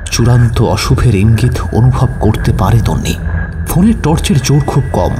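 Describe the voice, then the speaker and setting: Male audio-story narrator, medium pitch, dramatic and suspenseful, music bed behind.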